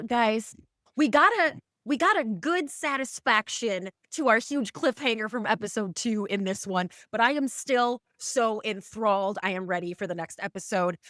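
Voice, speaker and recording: A woman's voice: mid-range voice, lively intonation, moderate pace, fairly bright tone, dry recording, studio quality. A woman hosting a podcast, chatting casually about a drama episode. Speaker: podcast host.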